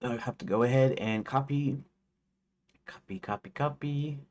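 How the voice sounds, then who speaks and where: A man's voice: medium pitch, moderate pace, a little mellow. A man in his forties, a game developer chatting casually on a live stream, rambling with many fillers and restarts. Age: 40s.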